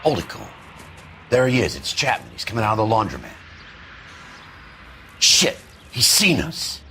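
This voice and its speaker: A middle-aged American man playing a gruff, world-weary police detective in a game drama. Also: on the low side, wildly swooping pitch, normal speed, bright, forceful tone, a little hiss or hum, heavily acted. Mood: urgent. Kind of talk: cinematic game drama acting.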